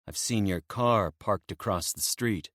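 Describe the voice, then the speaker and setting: A man's voice: on the low side, unhurried, fairly bright tone, no echo, studio quality. A man voicing a cold, clipped male biker. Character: cold quiet male biker, grieving husband.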